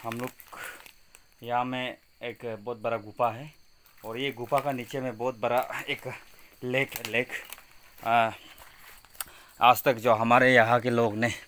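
A man with a Northeast Indian accent narrating casually on location outdoors at a cave, in halting, repetitive, simple phrases. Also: medium pitch, little pitch movement, a bit bright, a little hiss or hum. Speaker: vlogger exploring a cave. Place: outdoors at a forested cave mouth. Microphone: handheld phone.

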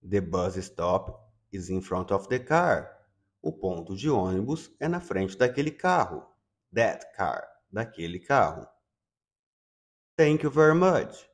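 Slow-speaking Brazilian English teacher. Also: male voice, fairly low pitch, very animated. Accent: Brazilian Portuguese. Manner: repeats each phrase, then translates it. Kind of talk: slow bilingual lesson, repeating phrases.

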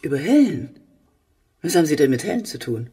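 A woman's voice: low-pitched, sing-song, brisk, mellow, breathy edge, far from the mic, heavily acted. An actress playing a fussy, talkative, needy middle-aged mother in a German radio play. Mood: curious, flustered.

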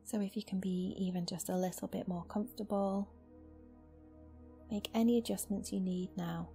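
A woman teaches yoga nidra in a gentle, unhurried voice with long pauses. Her soft delivery has a noticeable creak and stays very level in pitch. New-age music plays clearly behind her. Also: steady tone. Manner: slow, soothing, gentle instruction with long pauses. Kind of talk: guided meditation.

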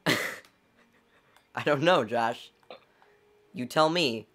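A man's voice: medium pitch, drawn-out words, a bit bright. A man co-hosting a casual game stream, teasing his friend and laughing often. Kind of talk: casual gameplay banter.

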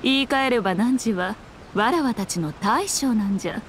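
A woman's voice: a little high, lively intonation, moderate pace, a little hiss or hum, natural voice. A woman voicing a sly, self-assured fox shrine maiden in a Japanese anime game, speaking in a lordly, archaic, teasing manner. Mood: commanding, reassuring.

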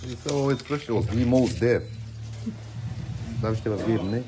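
A man's voice: mid-range voice, normal speed, background noise. A man in his thirties vlogging casually to a handheld camera, chatty and joking with his viewers. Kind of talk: casual vlog narration to camera. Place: hair salon and city street. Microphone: handheld camera at arm's length.

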